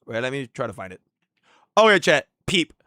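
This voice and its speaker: A man from Singapore, live-streaming casual chat with his viewers, excitable and slangy, swinging between hyped outbursts and low mumbles. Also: normal speed, no room sound, clean studio sound.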